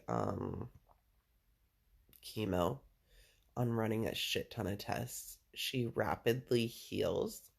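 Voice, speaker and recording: A man with a deep voice chatting casually and at length to a listener, rambling, full of fillers and casual swearing. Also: creaky, husky. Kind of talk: casual personal chat with a listener.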